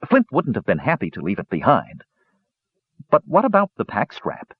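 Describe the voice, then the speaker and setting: A man's voice: medium pitch, huge pitch swings, moderate pace, husky, dry recording. A man voicing an earnest, mischievous young male kender who talks eagerly and pleads to be believed. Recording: dull dry recording.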